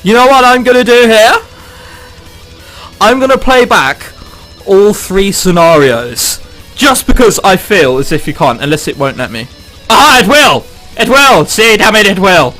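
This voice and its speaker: An excitable man commentating as he plays a visual novel. His voice is high and bright, swinging up and down as he swears irreverently, with game music behind him. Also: measured pace, poor audio. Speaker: let's play host. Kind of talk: visual novel playthrough commentary, reading aloud.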